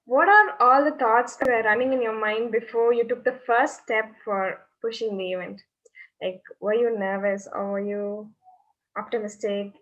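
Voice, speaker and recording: Young woman interviewer, higher voice, dull lo-fi sound. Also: normal speed, a bit bright. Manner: casual, uses filler "like". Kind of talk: interview questions. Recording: dull lo-fi audio.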